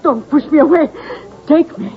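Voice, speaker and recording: A woman's voice: sits high, normal speed, phone-quality sound, a crowd in the background, lightly acted. An actress playing an obsessive, lovestruck woman, imploring breathlessly in melodramatic radio style. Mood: desperate passion. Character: lovestruck, obsessive woman throwing herself at a man. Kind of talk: melodramatic vintage radio acting. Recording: thin, band-limited old radio recording.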